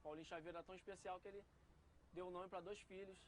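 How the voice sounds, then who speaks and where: A man's voice: high voice, steady tone, fast, slight background noise. A middle-aged man talking casually in an interview, as a local tradesman. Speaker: neighborhood locksmith.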